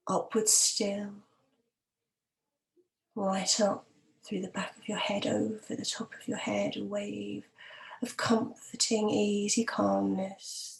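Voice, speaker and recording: Woman, guided meditation, soft soothing delivery, fry in the voice, level intonation, calm, measured. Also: mid-range voice, poor audio. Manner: calm, gentle, measured, with drawn-out pauses. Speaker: hypnotherapist leading guided meditation.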